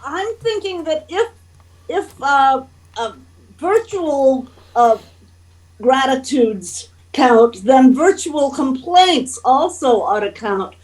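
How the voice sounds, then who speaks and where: A woman commenting casually as a participant in an online service, halting as she thinks aloud. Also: high-pitched, lively intonation, a little mellow, poor audio.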